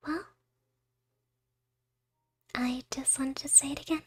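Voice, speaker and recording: Woman playing a very high-voiced, slow, cutesy doting wife. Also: slight background noise. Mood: tender, hushed. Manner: cutesy, hesitant, giggly, drifting into whispers. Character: doting, shy, affectionate young wife. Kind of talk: ASMR romantic roleplay.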